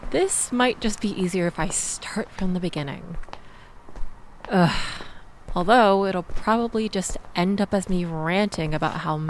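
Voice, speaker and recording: A woman playing a shaken, chatty young woman in an intimate audio roleplay, rambling with wry asides and breathy sighs as she confides in the listener. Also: on the low side, a little hiss or hum, low-quality recording. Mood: exasperated, chatty. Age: young adult. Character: shaken, chatty young woman escaping a bad date, self-deprecating and grateful.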